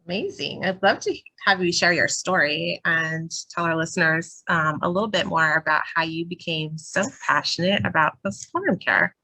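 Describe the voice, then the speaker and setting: Podcast host lady, bright clear voice, dry close sound. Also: fairly low pitch, moderate pace, hardly any pauses, dry recording, low-quality recording. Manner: warm, encouraging interviewer. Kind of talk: podcast interview.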